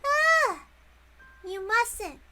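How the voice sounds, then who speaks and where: Creepy little girl, extremely high voice, slow childish whine, visual novel character, music underneath. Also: big pitch swings, full character voice. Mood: ominous. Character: eerie little girl, witch's devoted disciple, childish.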